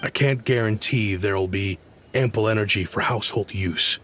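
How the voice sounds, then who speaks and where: A man voicing a diplomatic, conciliatory town official in a video game, speaking in measured, soothing speeches. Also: fairly low pitch, fairly bright tone, a little hiss or hum, close to an everyday voice. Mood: reassuring.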